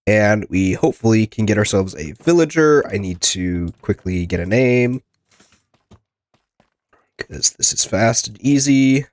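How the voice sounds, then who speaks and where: Low, stuffy-nosed man casually commentating. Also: no echo. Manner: rambling, talks to himself and game characters. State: getting over a sickness, plugged nose. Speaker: host of a gaming video.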